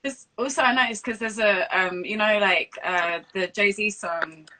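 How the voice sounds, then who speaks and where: A woman's voice: mid-range voice, measured pace, pushed and bright. A woman interviewing in a casual, informal live-stream chat, often saying "like". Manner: informal, frequent "like". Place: remote live video call. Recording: noisy live video call, clicks.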